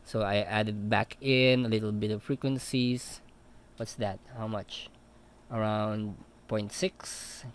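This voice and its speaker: A young man with a baritone voice, talking through a vocal mix with a relaxed, hesitant manner and many fillers. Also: slow pace. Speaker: audio mixing tutor. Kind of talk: casual walkthrough of vocal mixing plugins.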